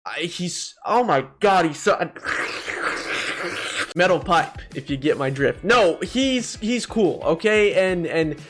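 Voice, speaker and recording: A man's voice: on the high side, lively intonation, slow, faint music behind. A young man doing casual, opinionated gaming commentary, talking in profane slang and exclaiming as he ranks things. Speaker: gaming video host. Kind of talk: casual tier-list commentary.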